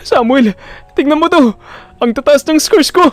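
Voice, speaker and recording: A man voicing an earnest, excitable schoolboy son in an audio drama, speaking emphatically. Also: extremely high pitch, normal speed, soft background music, voice pushed up. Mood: overjoyed.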